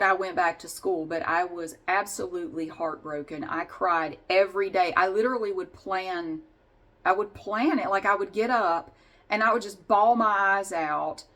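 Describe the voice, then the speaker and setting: Woman vlogger just chatting about her life, candid n kinda sarcastic, mid pitched voice. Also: fairly bright tone. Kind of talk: casual personal storytelling.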